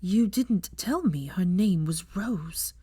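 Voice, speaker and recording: A woman's voice: fairly low pitch, expressive, takes it slowly, mellow, husky, no echo, studio quality, heavily acted. A woman voicing a proud, guarded woman scholar in a story reading, cool and pointed in manner. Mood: tense anger.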